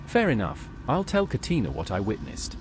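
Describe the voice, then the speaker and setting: Very deep-voiced female adventurer played by a woman. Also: huge pitch swings, moderate pace, background noise, exaggerated voice. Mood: matter-of-fact. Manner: matter-of-fact, helpful. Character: plain-spoken adventurer helping troubled souls. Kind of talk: video game dialogue.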